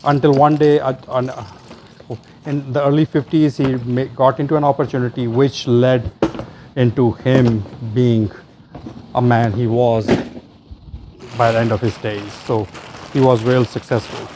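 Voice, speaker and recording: A man's voice: medium pitch, flat delivery, a little slow, pauses often, noisy background. A man hosting a treasure-hunt vlog, talking casually and off the cuff in short fragments as he sorts through finds. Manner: muses aloud in short fragments. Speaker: host of a storage locker treasure-hunt vlog. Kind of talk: casual unscripted commentary while sorting through finds.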